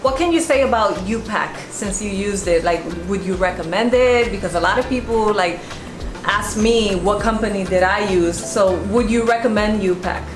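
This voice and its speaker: A woman's voice: mid-range voice, normal speed. A woman from New York and New Jersey in the United States, hosting a casual sit-down interview. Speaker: video host and interviewer. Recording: echoey room with music behind.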